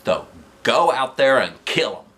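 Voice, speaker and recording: A man's voice: mid-range voice, sing-song, a little slow, a bit bright, a little hiss or hum. A man voicing a folksy, pushy woman talent coach in a children's storybook, showy and encouraging. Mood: folksy, confiding. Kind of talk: children's storybook read aloud.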